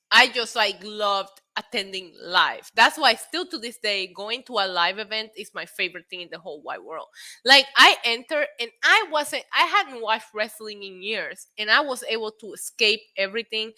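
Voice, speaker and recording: A woman in her late thirties hosting a casual wrestling live stream, excitable and a little tipsy, laughing, swearing and dropping in Spanish phrases as she answers chat. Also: a little high. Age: 30s. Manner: frequent laughter and profanity. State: tipsy from drinks. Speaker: wrestling show host.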